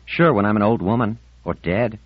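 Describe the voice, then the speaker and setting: A man's voice: lowish voice, moderate pace, no room sound, dull audio, voice pushed up, natural voice. A man voicing a moody, articulate teenage girl in an audiobook, insistent and indignant in manner. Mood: bitter.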